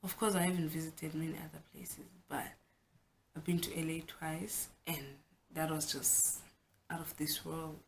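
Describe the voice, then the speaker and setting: A woman's voice: low voice, flat delivery, normal speed, gravelly, slight background noise. A young South African woman whose first language is Xhosa, talking frankly and chattily to the camera in a casual home vlog, often restarting her sentences. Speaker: vlogger.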